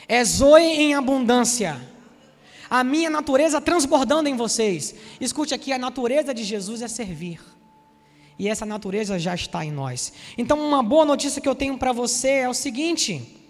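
A man in his forties from Salvador with a Bahian accent, preaching a sermon and telling personal stories, speaking to the congregation directly with lively asides. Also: very high voice, in a large hall. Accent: Bahian Brazilian Portuguese. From Salvador, Bahia, Brazil. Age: 40s.

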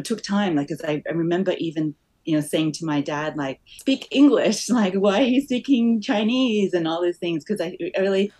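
Low-pitched woman with a muddled Australian accent. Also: darkish tone. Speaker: podcast guest, company founder. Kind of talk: personal, reflective interview talk.

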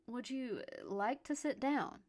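A woman voicing a playful, kindly young heroine who speaks gently and indulgently in an audiobook story. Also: fairly high pitch, slow pace, voice pushed up.

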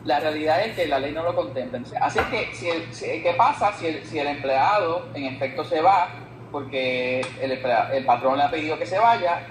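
A man with a Puerto Rican Spanish accent explaining as an expert guest in an interview, haltingly repeating words as he reasons. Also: a little high. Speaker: guest expert on labor law. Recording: echoey room with some background noise.

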